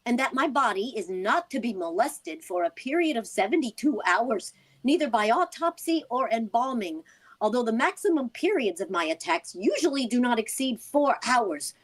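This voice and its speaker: High, animated, concerned housewife played by a woman. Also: normal speed. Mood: reading aloud, serious. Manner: earnest, inquisitive. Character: concerned, persistent housewife in a radio play. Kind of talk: vintage radio drama acting.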